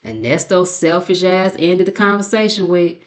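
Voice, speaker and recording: An American woman with a slightly low voice hosts a gossip commentary channel. She talks casually and colloquially, with a sassy, mocking edge as she recaps the latest mess. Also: expressive, normal speed. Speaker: commentary channel host and narrator.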